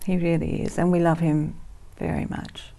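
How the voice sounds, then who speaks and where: A woman, a guest in a TV studio interview, talking warmly and reflectively with frequent pauses. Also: fairly low pitch, flat delivery, creaky. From Netherlands, raised in Australia. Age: 60s. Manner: warm, reflective, frequent ums and soft laughs.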